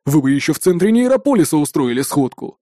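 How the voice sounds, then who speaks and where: A man's voice: on the high side, sing-song, fast, dark tone, dry recording, clean studio sound. A man voicing a cold, menacing armoured raider who talks with scornful threat in an audiobook story.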